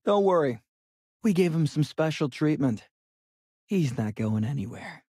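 A young male helmsman in a pirate game. His voice sits a little high. He talks with easygoing, confident swagger. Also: expressive, a little slow, darkish tone, breathy edge, no echo, clean studio sound. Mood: breezy. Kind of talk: video game voice acting.

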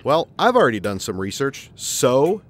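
A man's voice: mid-range voice, normal speed, close to an everyday voice. A middle-aged man acting an upbeat father who earnestly explains things in a family audio drama. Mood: earnest, explanatory. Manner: explanatory, lively.

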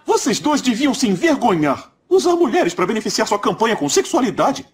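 A man's voice: very high voice, very animated, fairly quick, heavy background noise. A man dubbing a smug, boastful young engineer in a Brazilian Portuguese TV dub, cocky and mocking.

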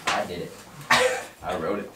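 A young man speaking casually as the writer leading a group table read, recorded in a room. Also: moderate pace. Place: home dining room, group around a table. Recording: echoey room, distant mic, very noisy.

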